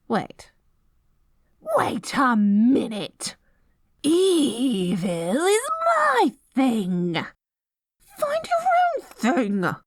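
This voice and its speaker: Picture a petty, excitable evil queen as a woman acts her out in an audio roleplay. She schemes out loud with theatrical relish, taking her time over the words and swinging widely in pitch. The sound is close and dry. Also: dry recording, full character voice. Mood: indignant. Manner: melodramatic, gleefully scheming, indignant outbursts. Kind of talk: scripted ASMR audio roleplay.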